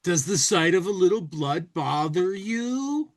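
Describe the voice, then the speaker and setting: A brash captive movie hero, acted out by a man, sarcastic and vindictive. He declaims his taunts with lively swings of pitch for a comic reading. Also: a little slow, full character voice. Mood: taunting, vindictive.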